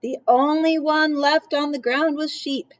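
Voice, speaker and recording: Slow-paced woman reading a children's storybook. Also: high-pitched, dry recording. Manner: playful, expressive. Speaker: storyteller reading a picture book aloud. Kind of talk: children's read-aloud with chatty asides.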